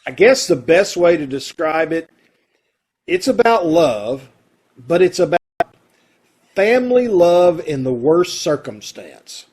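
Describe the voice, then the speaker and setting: A man with a somewhat high voice hosts a community troupe's online show. He talks in a chatty, folksy way, addressing viewers and guests casually over a video call. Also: big pitch swings, slow, long pauses, a little mellow, husky. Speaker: host of an amateur radio theatre troupe's online show. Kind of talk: casual welcoming chat to an online audience.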